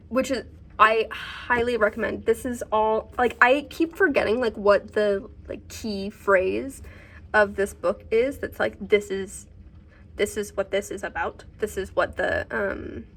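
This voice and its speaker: A woman chatting casually about books in a vlog, halting as she thinks aloud. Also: a little high, a bit bright. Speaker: book reviewer and vlogger.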